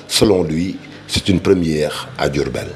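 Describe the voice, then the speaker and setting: Very deep-voiced male news narrator. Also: unhurried. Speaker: news reporter.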